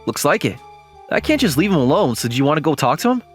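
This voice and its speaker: A man voicing an awkward, kind, earnest young man in a manga dub, with expressive delivery. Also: fairly high pitch, quick pace, soft background music. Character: awkward, kind young man self-conscious about his looks. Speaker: voice actor. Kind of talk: manga dub dialogue and narration.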